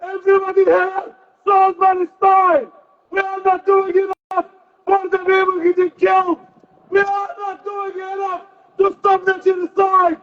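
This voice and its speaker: A man gives an impassioned political speech at a rally, declaiming forcefully and repeating phrases between pauses. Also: extremely high pitch, monotone, highs cut off. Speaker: protest rally speaker.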